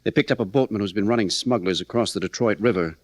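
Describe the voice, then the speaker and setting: A man's voice: on the low side, fast talker, no echo, close to an everyday voice. Businesslike federal agent played by a man, dry sound. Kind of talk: 1940s radio crime drama acting.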